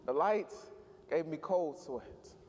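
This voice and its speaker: This is a young man whose voice sits high and lively. He hypes a conference crowd and then delivers spoken word poetry with a rhythmic pulse. Long hall reverb trails every line. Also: slow, slight background noise. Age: young adult. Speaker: youth spoken word poet. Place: large event hall, live audience.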